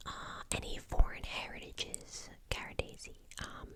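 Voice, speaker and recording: A woman in her twenties from the United States, whispering an ASMR question-and-answer session in a chatty way with lots of "um" and "like". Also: unhurried. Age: 20s. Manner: soft unvoiced whisper, chatty with many fillers. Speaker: ASMR channel host.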